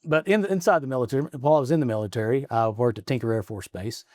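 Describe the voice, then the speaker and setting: A man's voice: medium pitch, rapid-fire, darkish tone, no echo, studio quality. A man in his forties from Arkansas, a guest in a casual interview, talking in a rambling way, restarting his stories and chuckling often. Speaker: guest, state representative. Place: office in the state capitol.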